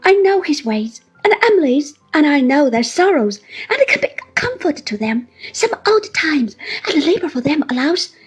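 A woman's voice: very animated, extremely slow, few pauses, darkish tone, exaggerated voice, low-quality recording. A woman doing a sobbing, clinging old woman. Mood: tearful pleading. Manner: high wailing pleas, breaking into sobs. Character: clinging, tearful older woman pleading desperately.

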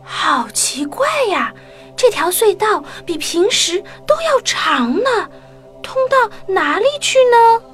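Small child, storybook audio play. Extremely high, bright, excitable, wide-eyed. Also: female voice, slow pace, faint music behind, exaggerated voice. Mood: curious, amazed. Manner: exclaiming, wide-eyed, playful.

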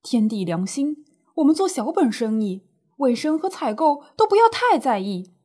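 Woman acting an animated, high-pitched, aggrieved older shopkeeper auntie. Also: moderate pace, breathy edge, exaggerated voice. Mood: indignant. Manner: plaintive, exclaiming, colloquial grumbling. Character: distressed, indignant older woman running a small snack shop. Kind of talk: radio drama dialogue.

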